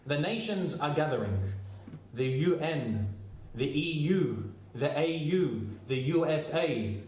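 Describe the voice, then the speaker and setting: A man's voice: on the high side, animated, slow pace, a little mellow, reverberant. A man preaching a sermon in a formal, solemn manner.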